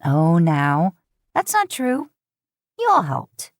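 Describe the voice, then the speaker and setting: A woman's voice: on the low side, huge pitch swings, slow pace, no echo, clean studio sound, full character voice. A woman voicing an upbeat, affectionate, motherly woman friend in an audio story. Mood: modest, fond. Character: bubbly, warm, motherly woman friend hosting a surprise party. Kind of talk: fan fiction audio story reading.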